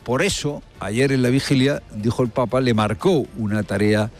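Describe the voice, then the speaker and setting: A man's voice: medium pitch, normal speed, faint music behind. A man presenting radio news with live, emphatic commentary, asking rhetorical questions and repeating key phrases for effect. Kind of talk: live spoken commentary with field reporting.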